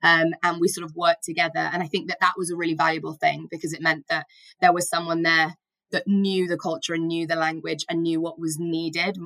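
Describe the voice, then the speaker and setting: A woman's voice: on the low side, a bit bright, no echo, poor audio. A woman in her twenties from Britain, co-hosting a podcast and thinking aloud with many fillers. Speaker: podcast co-host. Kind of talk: casual podcast conversation.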